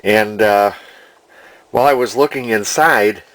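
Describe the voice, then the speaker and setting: Male hobbyist narrator, slightly low pitch, plainspoken and unhurried in manner, unscripted. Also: a bit bright. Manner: deliberate, folksy, plainspoken. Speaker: antique radio collector and restorer. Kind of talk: casual unscripted video narration.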